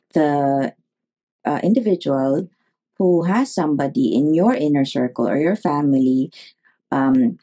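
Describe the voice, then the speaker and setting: A woman, a neurologist guest, giving advice in an interview, speaking in a measured way with many pauses and fillers. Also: low-pitched, mellow, husky.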